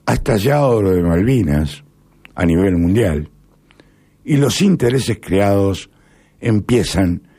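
A middle-aged Argentine man speaking in a rambling, halting monologue with sudden emphatic rises. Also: low voice, gravelly, warm tone, husky. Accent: Argentine Spanish. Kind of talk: casual spoken monologue.

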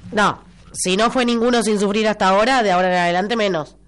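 A woman's voice: mid-range voice, quick pace. Congested Argentine woman, chatty radio host. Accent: Argentine Spanish. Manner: chatty and expressive, repeats phrases for emphasis. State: congested, stuffy nose with a cough. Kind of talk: casual morning radio chat reading listener messages. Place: radio studio.

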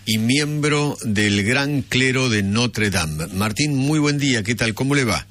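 A male host with a Rioplatense accent, running a news interview with brisk questions. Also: mid-range voice, animated, fairly bright tone. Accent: Rioplatense Spanish. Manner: brisk, short acknowledgements. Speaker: radio host.

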